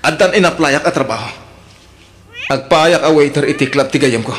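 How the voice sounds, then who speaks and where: A man's voice: fairly high pitch, normal speed, natural voice. A man with an Ilocano accent playing a beleaguered husband in a radio drama, placating, sighing and exasperated as he defends himself. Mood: angry, fed up. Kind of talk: Filipino radio drama acting. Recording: loud background noise.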